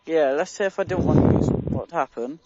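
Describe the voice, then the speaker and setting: A man acting as a naive teenage lad phones in with hesitant, confused questions. His voice sits a bit high and the phone audio is slightly dull. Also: brisk, heavy background noise, close to an everyday voice. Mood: naive, insistent. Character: naive, confused underage teenage boy caller. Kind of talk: prank phone call.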